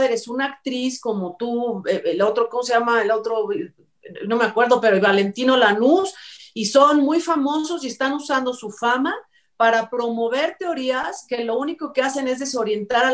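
Opinion co-host: Mexican woman, middle-aged, high-pitched, insistent and argumentative, speaking over a video call. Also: normal speed. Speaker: co-host commentator. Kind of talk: opinionated discussion.

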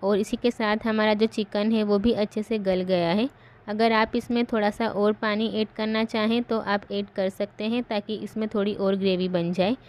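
A woman host giving calm, step-by-step voice-over narration for a home cooking recipe. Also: medium pitch.

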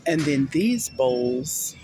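A woman's voice: rumbling low, lively intonation, extremely slow, mellow, faint music behind, rough recording. A woman in her forties, a mom vlogger chatting casually and a little sleepily to viewers while shopping on a budget, filmed on a handheld phone. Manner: chatty, folksy, talks to viewers as "y'all" and "baby". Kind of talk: casual shopping vlog talk. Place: parked car, then a big-box store. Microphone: handheld phone camera.

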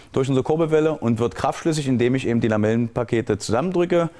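A man speaks as a technical instructor, walking step by step through an explanation. His pitch sits in the ordinary middle range for a man. He often halts mid-sentence to search for the right term before carrying on. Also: a little mellow.

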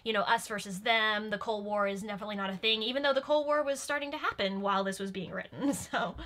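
A woman in her twenties chatting casually as a fan explaining a show, in halting, hedging explanations. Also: medium pitch, fairly bright tone. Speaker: guest, Star Trek fan explaining the show. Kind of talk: casual episode commentary chat. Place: small room, indoors.

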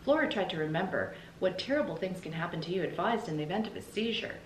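A middle-aged woman reading a children's storybook aloud expressively, like a teacher, with deliberate pauses between phrases. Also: expressive. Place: indoors in a room with bookshelves. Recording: roomy echo, faint low rumble.

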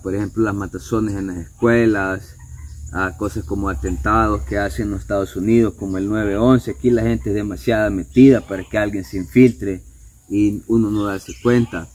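A man's voice: fairly low pitch, darkish tone. A man in his forties from El Salvador with a Salvadoran accent, talking reflectively in a casual vlog monologue outdoors, often ending thoughts with a question tag. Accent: Salvadoran Spanish. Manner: reflective, conversational, tags sentences with questions. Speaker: vlogger. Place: outdoors, alone.

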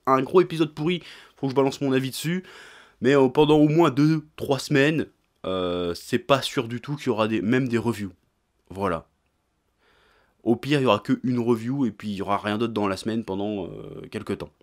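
Casual young man chatting to camera. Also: mid-range voice. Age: young adult. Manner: informal, slangy, frequent hesitations. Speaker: YouTube manga and anime channel host. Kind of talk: casual vlog chat to camera. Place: small indoor room with shelves of figurines.

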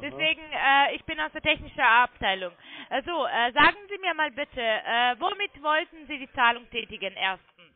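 High-pitched woman on a phone line. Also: expressive, moderate pace. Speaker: phone sales agent claiming to be technical support. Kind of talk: phone sales call, step-by-step instructions.

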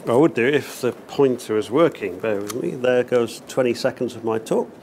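A man's voice: medium pitch, moderate pace, a little mellow, slight background noise. Chatty man giving a meetup talk. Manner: chatty, upbeat, many fillers and restarts. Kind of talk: casual conference talk. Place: small event venue indoors, in front of an audience.

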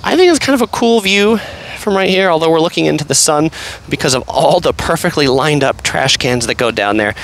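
A man's voice: fairly high pitch, creaky, fairly bright tone, slight background noise. A man casually narrating an outdoor walking tour on a pier, chatty and rambling, full of fillers and asides. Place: outdoors on an empty seaside pier.

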